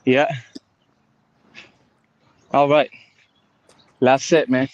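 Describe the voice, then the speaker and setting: A man's voice: mid-range voice, flat delivery, extremely slow, no echo, highs cut off. A man whose first language is not English, working out in a park, out of breath and talking in short, enthusiastic bursts. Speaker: workout partner. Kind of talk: casual chat during workout. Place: outdoor park.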